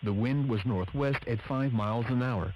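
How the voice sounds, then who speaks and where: A man with a flat, synthetic-sounding delivery reads formal scripted announcements as a weather radio broadcaster. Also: medium pitch, normal speed, highs cut off, a little hiss or hum.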